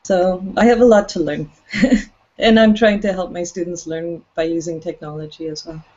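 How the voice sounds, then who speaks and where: A woman's voice: fairly low pitch, fairly even intonation, normal speed, warm tone. A woman who teaches English, introducing herself in a chatty way and laughing easily on a video call. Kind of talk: casual self-introduction. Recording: dull lo-fi call audio.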